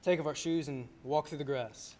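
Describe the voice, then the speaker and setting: A man's voice: on the high side, moderate pace, darkish tone, slight background noise, lightly acted. An actor playing a restless, good-hearted young man in a radio play, folksy, hesitant and wry. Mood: eager, dreamy.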